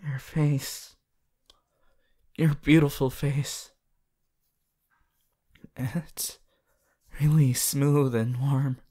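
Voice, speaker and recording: A woman playing an eager, affectionate teenage boy hero in a fan ASMR roleplay, gushing and halting as she playfully addresses the listener. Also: a bass voice, fairly even intonation, dark tone, full character voice. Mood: tender, adoring. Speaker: voice actor in a fan character audio.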